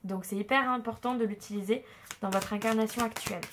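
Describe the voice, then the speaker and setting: A woman's voice: mid-range voice, fairly even intonation, fairly quick, noisy background. A woman with a blocked nose from a cold, giving a tarot reading in a loose, hesitant way, full of fillers and restarts. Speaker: tarot card reader. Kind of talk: casual spoken tarot reading to viewers.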